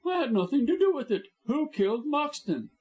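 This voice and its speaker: A man's voice: sits high, expressive, moderate pace, pitched above the performer's own voice. A man reading an audiobook, voicing a scheming male lawyer who sneers and threatens. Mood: panicked.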